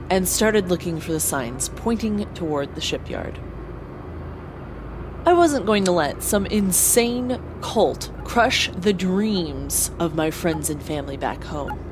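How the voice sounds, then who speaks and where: A woman's voice: on the low side, noisy background. A woman playing an earnest young space pilot who narrates her own story in an audio drama. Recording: clear vehicle ambience behind voice.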